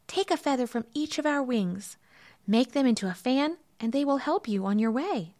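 Woman as a high-pitched, kindly little bird. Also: lively intonation, normal speed, darkish tone. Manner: high, light, helpful. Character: small kindly talking bird.

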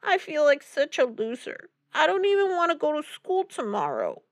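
A woman's voice: high voice, huge pitch swings, drawn-out words, bright, forceful tone, no echo, clean studio sound, voice pushed up, full character voice. A woman voicing a shy, self-doubting young schoolgirl in a storybook, with a hesitant, upset manner. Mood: tearful, defeated.

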